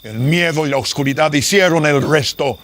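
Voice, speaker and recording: A man's voice: a little high, moderate pace, fairly bright tone, slight background noise. A man playing a solemn, formal character with a painful secret in a horror radio drama. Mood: grave, confiding. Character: man with a painful secret, reassuring a frightened priest. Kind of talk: Spanish-language horror radio drama acting.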